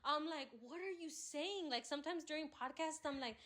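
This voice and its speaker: A woman co-hosting a podcast in casual chat, animated and teasing, laughing often. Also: high voice, moderate pace. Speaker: podcast co-host.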